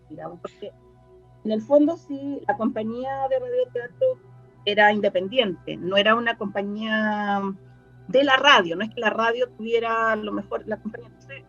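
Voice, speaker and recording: A woman's voice: medium pitch, pushed and bright. A Chilean woman answering interview questions conversationally, hesitant, searching for words and often repeating herself. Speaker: interview guest, scriptwriter and radio drama researcher. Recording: dull, lo-fi sound with faint music. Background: faint music.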